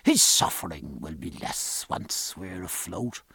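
A man voicing a learned ship's surgeon, drily earnest, with a measured, formal and pedantic manner. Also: low-pitched, expressive, gravelly, bright, forceful tone, husky, no echo, studio quality, voice pushed down, exaggerated voice. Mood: grave, assured.

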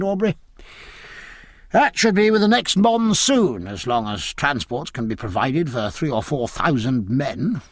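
A man's voice: medium pitch, vocal fry, slightly rough, dry recording, clean studio sound, heavily acted. A man voicing a hearty, garrulous older British admiral in a naval audiobook, blustering and fond of proverbs. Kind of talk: naval historical audiobook character dialogue.

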